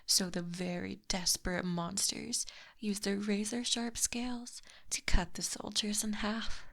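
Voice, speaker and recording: A woman playing a possessive, teasing lamia monster girl in a soft, breathy ASMR roleplay, close on a binaural microphone. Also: mid-range voice. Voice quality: breathy, whispery. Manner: soft, teasing, slow and deliberate.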